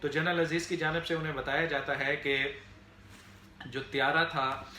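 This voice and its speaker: A man with a Pakistani accent giving unscripted political commentary to viewers in a halting, explanatory way, with frequent filler phrases. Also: fairly high pitch, steady tone, sharp tone. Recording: echoey room, faint background noise.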